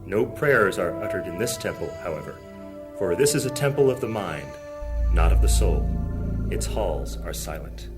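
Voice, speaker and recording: A man narrating a high fantasy audio drama as a solemn, measured storyteller. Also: very animated, over loud music.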